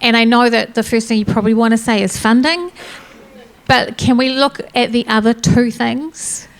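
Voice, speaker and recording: A woman moderates a conference panel in a fairly high voice. She is brisk and affirming, reading out questions from the audience and handing over to speakers. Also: fairly even intonation, smooth, low-quality recording. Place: conference room.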